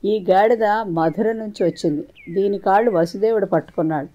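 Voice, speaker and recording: A woman voicing a clever, composed male royal minister in a storybook tale, explaining in a measured way. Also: mid-range voice, dark tone, highs cut off, close to an everyday voice.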